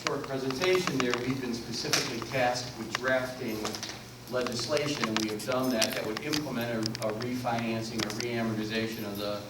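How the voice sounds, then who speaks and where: Male panelist, mid-pitched, firm and explanatory; distant, roomy, noisy recording. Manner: emphatic, explanatory, numbers-heavy. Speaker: panelist and policy expert. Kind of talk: public forum panel talk. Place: large echoey meeting room. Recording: echoey, distant room recording with noise.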